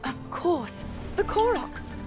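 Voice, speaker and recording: Young fantasy game princess, high and sincere, gracious delivery over clear background music. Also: female voice, very animated, slow pace, heavily acted. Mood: earnest. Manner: polite, sincere. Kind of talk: video game cutscene voice acting.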